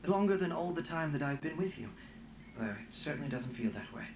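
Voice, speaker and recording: Upbeat young male translator for a fantasy game, played by an actor: higher-pitched, animated, roomy. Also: a little fast, background noise, lightly acted. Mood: earnest. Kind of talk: fantasy video game dialogue.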